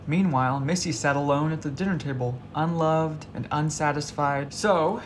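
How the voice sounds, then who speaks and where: A man's voice: on the high side, slight background noise, low-quality recording. A man narrating a scripted whimsical story as a reader.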